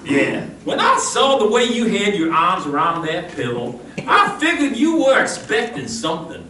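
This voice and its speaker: A man's voice: sits high, wildly swooping pitch, normal speed. A man acting a cheeky valet who teases his boss in an old-time radio comedy on stage. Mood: sly teasing. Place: live stage performance of a radio show. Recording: roomy stage recording, distant mic, faint noise.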